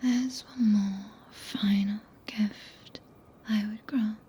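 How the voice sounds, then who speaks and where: A woman with a British accent playing a tender, possessive, regal witch in a soft breathy ASMR whisper, with archaic, stately phrasing. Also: medium pitch, a little hiss or hum. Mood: gentle, intimate. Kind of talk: ASMR roleplay. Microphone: close binaural microphone.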